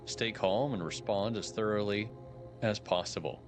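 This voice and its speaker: A man reading aloud the lines of a calm, authoritative female examiner in a game, in a slow, measured way. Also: on the low side, lightly acted. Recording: background music under voice.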